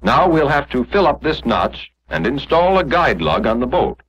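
American 1940s training film narrator, muffled soundtrack. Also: male voice, mid-range voice, sing-song, moderate pace, a bit bright, husky.

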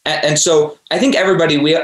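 A man's voice: fairly high pitch, fairly even intonation, fast, reverberant. A man hosting a podcast, talking earnestly and preachily, addressing his listeners directly. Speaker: podcast host. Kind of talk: motivational interview talk.

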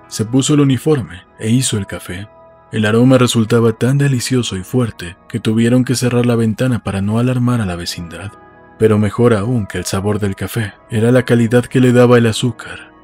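A man's voice: medium pitch, dark tone, slightly rough. A man reading scripted literary narration as the narrator of an audiobook.

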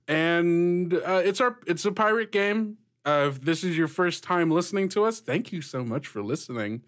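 Male podcast game master, lively swooping intonation, fairly high pitch, theatrical and joking. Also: moderate pace. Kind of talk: playful actual-play podcast introduction.

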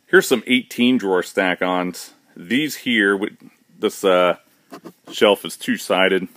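A man's voice: sits low, slow pace. A man giving a casual, matter-of-fact tour of his shop's warehouse while filming on a handheld phone. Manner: relaxed, matter-of-fact, with fillers and restarts. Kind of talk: casual walkthrough narration. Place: large indoor warehouse with shelving. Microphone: handheld phone camera, speaker behind it.

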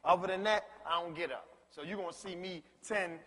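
High, husky brash young slacker. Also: male voice, a little fast, fairly bright tone. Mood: defiant, protesting. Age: young adult. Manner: slangy, protesting, theatrical. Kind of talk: comic stage play acting. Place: large indoor theatre stage. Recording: echoey theatre stage, long reverb.

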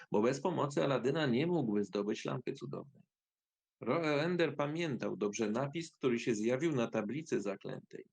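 A man's voice: medium pitch, creaky, darkish tone, husky. A man reading scripted fairy-tale narration in an audio drama.

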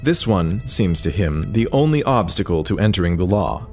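Low-pitched male storyteller with lively inflection. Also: moderate pace, faint music behind. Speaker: narrator. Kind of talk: scripted story narration in audio drama.

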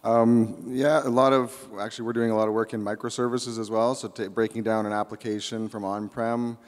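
A man answering questions on a conference panel, measured and hedging with fillers. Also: fairly low pitch, flat delivery. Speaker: panelist, cloud business owner. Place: large conference hall stage. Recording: long hall reverb.

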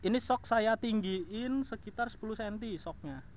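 A man's voice: sits high, normal speed. A man talking casually and colloquially to viewers as a vlog host, recorded outdoors on an action camera. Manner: informal, colloquial, addressing the viewer. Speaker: motovlog host. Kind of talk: casual vlog walkaround of his motorbike. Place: outdoors in a house yard. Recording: outdoor action camera, clear background noise.

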